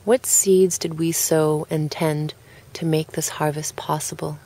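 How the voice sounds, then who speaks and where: Low-pitched woman guiding a calm relaxation. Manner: calm, unhurried, gently spoken with pauses. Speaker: guided relaxation narrator. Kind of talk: guided relaxation, scripted narration.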